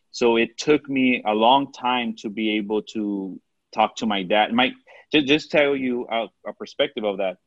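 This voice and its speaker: A man with a Mexican accent giving sincere advice in a casual podcast chat, halting and restarting often as he works through his thoughts. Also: fairly low pitch, fairly bright tone, no room sound, lo-fi sound. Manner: earnest, halting, many restarts and fillers.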